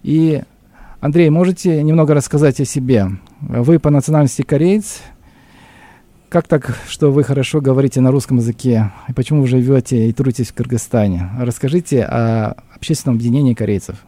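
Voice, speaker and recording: A man hosting a live radio talk show with a formal, measured announcer delivery, speaking into a studio microphone. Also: medium pitch, warm tone, no echo. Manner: measured, announcer-like reading of numbers.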